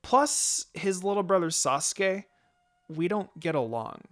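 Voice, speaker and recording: A man's voice: high voice, expressive, unhurried, fairly bright tone. A man voicing a lovestruck, jealous teenage girl in a fan fiction story, with anxious delivery. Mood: lovestruck, worried. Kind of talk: fan fiction character dialogue.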